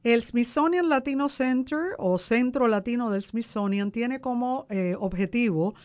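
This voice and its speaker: A woman's voice: a little high, unhurried, a little mellow. A woman who founded an arts organization, speaking as an interview guest and explaining things. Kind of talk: promotional interview talk, explanatory.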